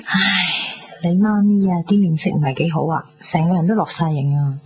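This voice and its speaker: An actress playing a brash, gossipy young best friend in a radio drama, chatty and teasing with a Hong Kong Cantonese accent. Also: female voice, deep voice, a little fast, mellow, breathy edge. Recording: dull lo-fi 1990s radio with hiss.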